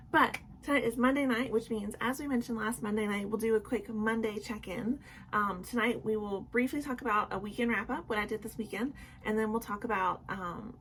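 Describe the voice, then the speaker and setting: A woman's voice: mid-range voice, a bit bright, slight background noise. A young woman with a sick, sore throat chatting casually in a nightly vlog from home, apologetic and full of ums and likes. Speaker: vlogger.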